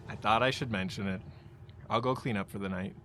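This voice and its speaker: An actor playing a practical, gently contrary male house remodeler in a romantic comedy radio play. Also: on the low side, brisk, close to an everyday voice. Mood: teasing. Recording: faint background noise.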